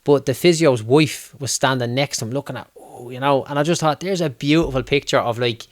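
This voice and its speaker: A man's voice: medium pitch, fairly quick, no echo. Dublin guy chatting away on a podcast, laughs a lot, dead dry sound. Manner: chatty, swears, laughs easily. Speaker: podcast host. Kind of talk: casual interview chat.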